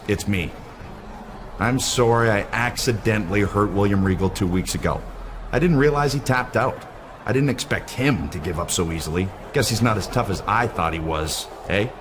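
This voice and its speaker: An actor playing a smug, insincere wrestling villain in a video game, with noise in the background. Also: male voice, lowish voice, lightly acted. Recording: noisy game cutscene audio.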